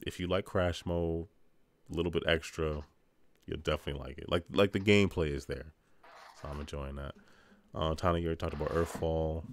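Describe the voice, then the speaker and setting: A man's voice: very deep voice, fairly even intonation, a little fast. A man chatting casually as a podcast host, rambling through game reviews with joking asides.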